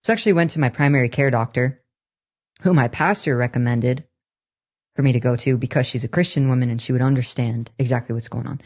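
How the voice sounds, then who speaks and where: A woman in her twenties with a somewhat deep voice, telling her own story as an interview guest in an earnest, candid way, with halting restarts. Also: a little mellow. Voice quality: somewhat deep, masculine-leaning voice. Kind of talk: personal testimony in a podcast interview.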